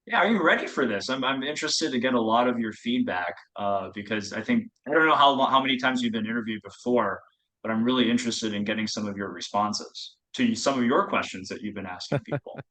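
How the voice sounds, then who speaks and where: A man's voice: medium pitch, lively intonation, fairly quick, pushed and bright, poor audio. Polite man interviewing a guest. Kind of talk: casual interview questions.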